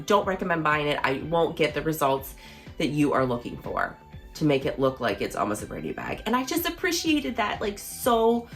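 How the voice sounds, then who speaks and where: Woman, low-ish voice, slightly hoarse, chatty enthusiastic vlog talk, faint background music. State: slightly hoarse, recovering from losing her voice. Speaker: fashion vlogger. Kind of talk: casual product review vlog.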